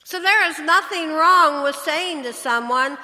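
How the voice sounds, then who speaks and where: A woman's voice: high-pitched, measured pace, bright, forceful tone. A woman pastor preaching a sermon in a church, earnest and asking her listeners questions. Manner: earnest, asks the congregation questions. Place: church sanctuary. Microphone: church microphone, switching mics. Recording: long church reverb.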